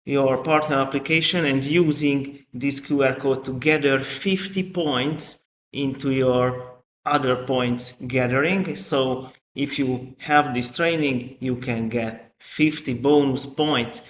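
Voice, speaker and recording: A man giving a live online product training as the presenter, with a halting delivery. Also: mid-range voice, fairly even intonation. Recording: echoey room with faint noise.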